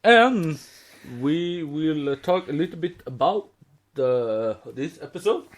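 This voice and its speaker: A man with a Swedish accent chatting as a podcast co-host, halting as he searches for words in English. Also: on the high side, expressive. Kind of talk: casual fan podcast chat.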